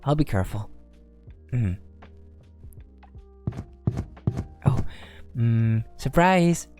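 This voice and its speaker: A shy young werewolf boyfriend, acted by a man, speaks softly in a mellow, relaxed voice. He takes his time, hesitant and affectionate, in an intimate ASMR roleplay with music playing behind him. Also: mid-range voice, takes it slowly. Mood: gentle, caring. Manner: hesitant, affectionate, soft-spoken, often chuckling. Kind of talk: soft romantic ASMR roleplay.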